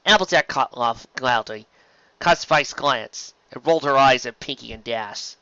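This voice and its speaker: A woman's voice: a bass voice, a little slow, sharp tone, no echo. A woman narrating a fan fiction story expressively. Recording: dull lo-fi close dry recording.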